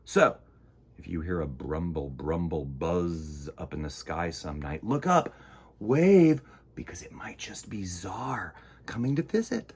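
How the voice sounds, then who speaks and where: Animated husky-voiced man reading to children. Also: sits low, measured pace. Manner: theatrical, exclamatory, swings from hushed to loud. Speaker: children's storytime host. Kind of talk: animated picture-book read-aloud for children.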